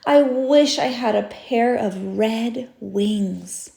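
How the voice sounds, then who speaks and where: A woman's voice: fairly high pitch, very slow, creaky, warm tone, roomy sound, exaggerated voice. A woman voicing a polite, pleading young male rabbit in a storybook reading. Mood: wishful. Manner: earnest, pleading, childlike.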